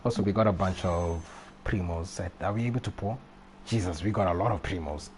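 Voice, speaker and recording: Guy on a game stream, lowish mellow voice, rambly casual chat, some noise behind him. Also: brisk. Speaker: live streamer. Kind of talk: casual gaming livestream chat.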